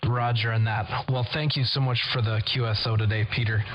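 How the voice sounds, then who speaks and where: A man's voice: mid-range voice, steady tone, a little fast. American man on a muffled CB radio. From United States. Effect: CB radio transmission. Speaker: CB radio operator who records contacts. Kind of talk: casual radio contact chat with procedure words. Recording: dull CB radio audio, faint static.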